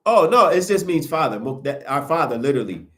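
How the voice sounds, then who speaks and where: A man hosting a livestream, preaching in a casual, animated way and answering viewer comments as he goes, with a sniffly nose. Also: a little high, fairly quick. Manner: animated, preachy, rises sharply when emphatic. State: sniffling from sinuses. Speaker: livestream host.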